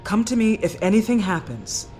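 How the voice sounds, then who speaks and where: Authoritative female asylum doctor acted by a woman for a game: measured, firm, composed, music underneath. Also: medium pitch, big pitch swings, darkish tone, close to an everyday voice. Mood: reassuring, professional. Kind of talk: video game voice acting. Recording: music behind the voice.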